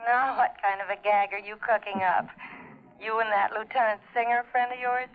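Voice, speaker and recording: A woman's voice: a little high, steady tone, normal speed, natural voice. An American woman playing an affectionate, bossy, teasing young girlfriend in a 1950s radio drama. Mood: playful, insistent. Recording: thin telephone-like old radio recording.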